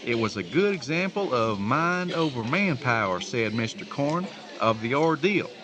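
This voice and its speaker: An actor playing a jealous, sarcastic small-town diner regular in a comic audio drama. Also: male voice, mid-range voice, big pitch swings, moderate pace, lightly acted. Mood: folksy. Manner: peevish, sarcastic. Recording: busy diner crowd behind.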